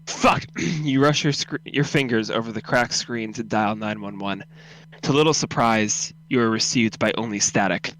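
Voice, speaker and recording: A man's voice: lowish voice, moderate pace, a bit bright. Man narrating horror, noisy background. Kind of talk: horror story narration in a casual live read-through.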